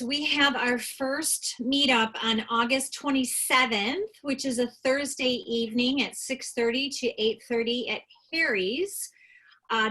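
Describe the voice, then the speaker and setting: A woman's voice: fairly high pitch, pushed and bright, low-quality recording. A woman who organizes a local meetup announces its details on a video call, speaking plainly with a few ums.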